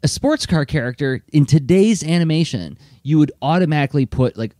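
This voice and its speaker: Animated man chatting on a podcast. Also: a little high, a little fast, no echo, clean studio sound. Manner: animated, lots of fillers. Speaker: podcast co-host. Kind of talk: casual chat.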